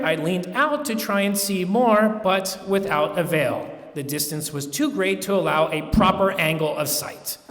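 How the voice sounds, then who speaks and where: A man giving a dramatic, deliberate live reading of gothic horror, drawing out words and moving between hushed and forceful delivery. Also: sits high. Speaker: reader at a live public reading. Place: large echoey room at a live museum event. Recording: echoey hall with long reverb.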